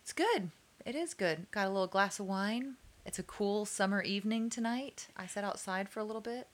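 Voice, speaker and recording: A woman's voice: mid-range voice, a little fast. A woman co-hosting a chat podcast, wry and joking, laughing at her own remarks. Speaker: podcast co-host.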